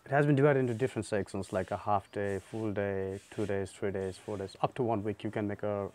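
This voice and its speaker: A man in his thirties who owns a guesthouse, answering interview questions outdoors in a relaxed and halting way. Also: on the low side. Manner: relaxed, halting, with many fillers. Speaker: guesthouse owner. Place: outdoor garden by a lake.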